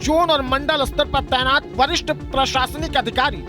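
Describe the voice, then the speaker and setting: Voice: high voice, fairly even intonation, moderate pace. A news reporter reading a scripted report with dramatic, punchy delivery. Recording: loud music under the voice. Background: loud news music bed.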